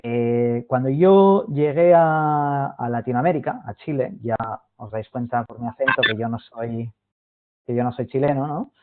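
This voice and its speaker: A man's voice: medium pitch, measured pace, heavy background noise. A man giving a hesitant online presentation, full of fillers and restarts. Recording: tinny phone-like line.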